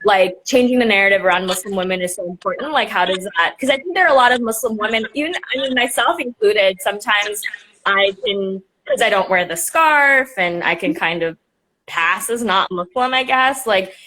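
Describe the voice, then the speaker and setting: A woman's voice: mid-range voice, lively intonation, normal speed, bright, forceful tone, a little hiss or hum, rough recording. Casual, rambling young woman host. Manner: casual, rambling, many fillers. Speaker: host, nonprofit director. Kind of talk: live video interview. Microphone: video call.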